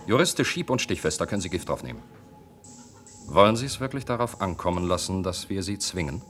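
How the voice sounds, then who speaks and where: Deep-voiced, coldly courteous doctor. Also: male voice, expressive, fairly quick, fairly bright tone, soft background music. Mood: cool menace. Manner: polite, condescending, threatening. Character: coldly courteous, menacing male clinic doctor. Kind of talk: German radio play acting.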